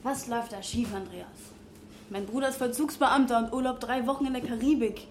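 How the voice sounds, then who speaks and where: High-pitched actress as a young woman, twenties, disillusioned, argumentative, radio drama, clearly noisy location sound. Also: fairly quick, lightly acted. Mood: subdued, insistent. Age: 20s. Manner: argumentative, questioning. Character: frustrated, disillusioned young pharmacy graduate working in a bar. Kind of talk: naturalistic radio drama dialogue.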